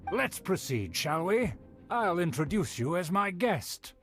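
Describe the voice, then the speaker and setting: Snooty young aristocrat, formal, condescending, lively rising and falling pitch, game dub. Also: male voice, measured pace, faint music behind, full character voice. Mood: haughty. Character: haughty young male nobleman, proud of his clan. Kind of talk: video game voice acting.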